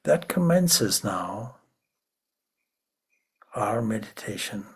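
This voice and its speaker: A man with a very husky, breathy voice leads a guided meditation at a very slow pace. His pitch sits on the low side, and he speaks in a hushed, measured way, leaving long pauses between short phrases. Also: very animated. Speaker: meditation teacher, swami.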